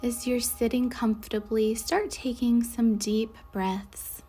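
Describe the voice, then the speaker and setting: A woman leading a guided meditation in a soothing, measured way, with soft breathy endings to her phrases. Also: fairly high pitch, fairly even intonation, soft background music. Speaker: guided meditation leader, Reiki instructor. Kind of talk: slow guided meditation narration.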